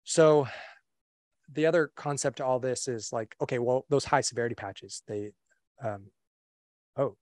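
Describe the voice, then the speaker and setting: A young man presenting a webinar on a video call, relaxed and full of fillers and restarts. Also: medium pitch, moderate pace, long pauses, no room sound, clean studio sound. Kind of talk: casual slideshow presentation. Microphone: computer mic on a video call.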